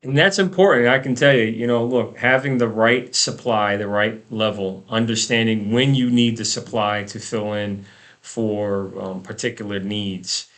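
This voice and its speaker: A man's voice: lowish voice, steady tone, measured pace, fairly bright tone. A man hosting a conversational radio interview show, repeating words and phrases for emphasis. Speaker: radio talk show host.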